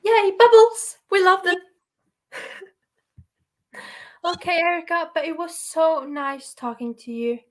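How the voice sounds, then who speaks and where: Bubbly young Norwegian woman, high voice. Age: young adult. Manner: bubbly and encouraging, quick to laugh. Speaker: host. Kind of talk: casual livestream interview.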